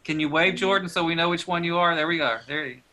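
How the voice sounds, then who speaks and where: A man, a pastor, leading a small group on a video call in a friendly way, asking quick questions and laughing often. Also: on the high side, sharp tone, rough recording.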